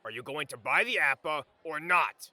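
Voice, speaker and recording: A man playing a gruff, impatient, muscular fruit vendor with a brusque, scolding delivery in an anime-style fandub. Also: on the high side, lively intonation, moderate pace, bright, forceful tone, no room sound.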